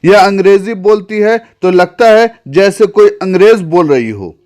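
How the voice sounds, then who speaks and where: A man's voice: sits high, expressive, unhurried, a little mellow. A man who narrates a news report in a formal tone and then interviews a guest, often hesitating when he speaks off script.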